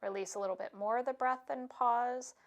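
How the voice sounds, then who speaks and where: A woman yoga instructor giving calm, soothing, unhurried cues for stretching, breathing and meditation. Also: on the high side.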